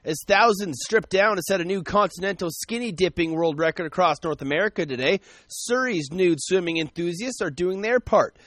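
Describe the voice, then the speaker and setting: A man reading the news on the radio in a brisk, even newscaster delivery from a studio. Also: high voice, no room sound. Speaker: radio newsreader.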